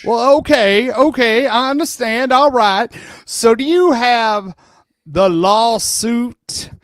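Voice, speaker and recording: A man's voice: very high voice, slow pace, a bit bright, no room sound, clean studio sound, full character voice. A man playing a persistent, polite lawyer questioning a witness in a comic deposition reading, methodical and placating, repeating himself as he presses. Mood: probing.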